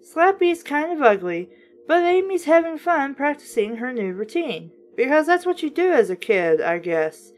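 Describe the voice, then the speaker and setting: A woman's voice: sits high, big pitch swings, moderate pace, a little mellow. A woman reading a children's book aloud in a casual way, breaking off for chatty, wry, skeptical commentary.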